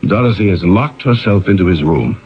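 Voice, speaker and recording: A very low-pitched, theatrical middle-aged man of letters, brooding and sardonic. His delivery swings widely in a 1970s radio play, with dull lo-fi sound. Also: expressive, a little fast. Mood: troubled, curt. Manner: theatrical, sardonic, self-dramatizing. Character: brooding, grandiloquent male author, bitter and sardonic. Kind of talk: 1970s radio drama acting.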